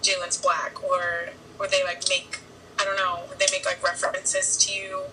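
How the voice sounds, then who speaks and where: A woman's voice: lowish voice, completely flat delivery, moderate pace, bright, forceful tone, distant mic, slight background noise, poor audio. A woman conducting an interview, asking rambling questions full of likes.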